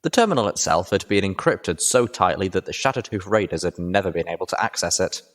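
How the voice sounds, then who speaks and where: A man reading a story aloud as an expressive audiobook narrator. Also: sits low, a little fast. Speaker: audiobook reader.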